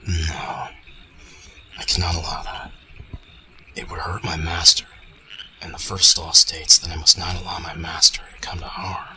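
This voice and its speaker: A man's voice: low-pitched, little pitch movement, normal speed, long pauses, whispered, natural voice, rough recording. A man playing a formal, dutiful cyborg robot in an amateur radio drama, speaking in stilted machine-like phrases. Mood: cold, formal.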